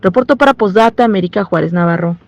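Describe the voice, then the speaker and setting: Woman, Mexican accent, scripted news narration, relaxed dark tone, close dry sound, muffled audio. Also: mid-range voice, quick pace, gravelly, dry recording. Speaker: news reporter.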